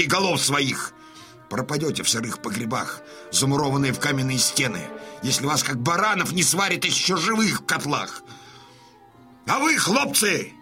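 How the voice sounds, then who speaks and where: A man's voice: on the high side, sharp tone, exaggerated voice. A man playing a defiant old Cossack colonel, grave and oratorical, giving commanding speech in an audio drama. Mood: bitter, prophetic. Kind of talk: Russian audio drama acting.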